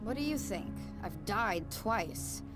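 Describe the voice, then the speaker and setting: A tough female survivor with a mid-range voice. She is wary and drily sardonic in natural game dialogue, and music runs clearly behind her. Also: medium pitch, sing-song, slow. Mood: wry, wary. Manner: dry, sardonic, conversational.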